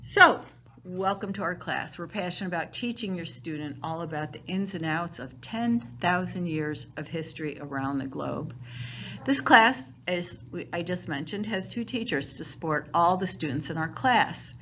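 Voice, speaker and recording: A woman's voice: lowish voice, lively intonation, moderate pace, pushed and bright. A woman teacher welcoming parents to a class and explaining how it runs, in a friendly, informal way with some fillers. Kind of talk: virtual parent night presentation. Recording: dull lo-fi home recording, faint hiss.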